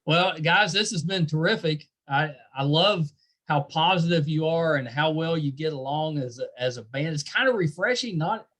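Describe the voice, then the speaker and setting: A middle-aged man with a slightly higher-pitched voice hosts a casual interview. He speaks conversationally and offers his guests encouragement and compliments as he goes. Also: moderate pace, fairly bright tone. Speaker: podcast host interviewing a band.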